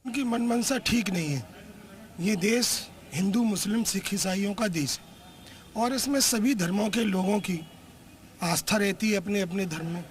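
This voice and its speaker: A male politician makes a statement to the press. His voice is high-pitched, and there is faint noise around him in the recording. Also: measured pace.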